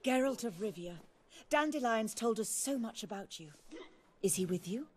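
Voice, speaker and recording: An actress playing a poised, shrewd theatre troupe leader in a video game, speaking in a measured, formal way. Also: female voice, a little high, expressive, slightly rough, long reverb tail. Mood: courteous, curious. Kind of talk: video game dialogue acting.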